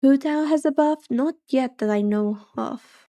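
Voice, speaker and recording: A soft-spoken woman chats casually on a livestream, thinking aloud with dry, wry humor. Her voice is mellow and a little high, and she takes her time with her words. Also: unhurried. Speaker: livestreamer, vtuber. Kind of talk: casual chat reacting to a game livestream.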